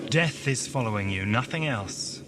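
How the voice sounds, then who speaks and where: A man's voice: mid-range voice, expressive, moderate pace, over music, close to an everyday voice. Dry, urbane alien time traveller played by a man. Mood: dry, probing. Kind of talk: audio drama acting. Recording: noisy amateur 1980s audio drama.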